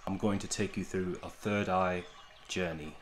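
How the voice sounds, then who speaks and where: A man leading a guided meditation in a gentle, soothing, unhurried way, with long pauses between short phrases. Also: sits low, steady tone, slight background noise.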